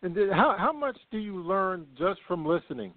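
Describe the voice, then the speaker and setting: A man's voice: sits high, sing-song, normal speed, dry recording. A man hosting an interview, effusive and admiring as he asks questions. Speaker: host. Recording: tinny phone-like line.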